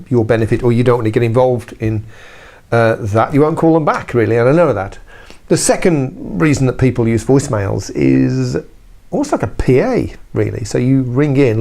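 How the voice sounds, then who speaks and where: A man's voice: mid-range voice, darkish tone. British man, chatty informal presenter. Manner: chatty, self-correcting, with wry asides. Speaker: business trainer presenting a video blog. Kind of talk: informal instructional talk to camera.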